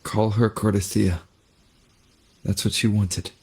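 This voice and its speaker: A male actor playing a terse, stern, loyal companion in a video game, with a husky voice and a blunt delivery. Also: lowish voice, flat delivery, takes it slowly, darkish tone, heavily acted. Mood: grave, firm. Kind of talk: dramatic scripted game dialogue.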